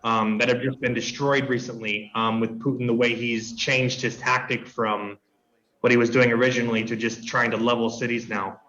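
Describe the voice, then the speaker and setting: A man speaks urgently and with feeling, halting and repeating himself as he goes. His voice sits at a middle pitch. The line sounds dull and muffled. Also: level tone, a bit bright. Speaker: guest. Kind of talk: radio interview.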